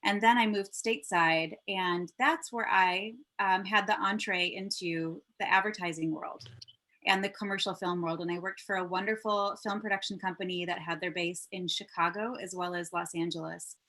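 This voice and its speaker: Bright-voiced woman producer, chatty guest. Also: lowish voice. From Michigan and Germany. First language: German. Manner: upbeat, chatty, quick laughs. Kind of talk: video call interview.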